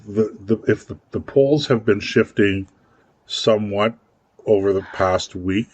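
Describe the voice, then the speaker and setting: A man's voice: lowish voice, a little slow, a little mellow, no room sound, lo-fi sound. A man co-hosting a political talk radio show, chatting casually and often repeating words as he works out his thoughts. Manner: rambling, repeats words while thinking.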